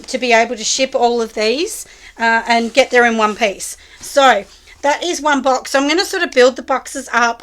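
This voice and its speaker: A woman who makes soap, chatting with viewers as she packs orders in her small studio, husky and hoarse from a cold. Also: on the high side, fairly bright tone. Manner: chatty, talks straight to the viewers. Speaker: soap maker running a small business. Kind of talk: casual chat while packing orders. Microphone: camera set low on a surface.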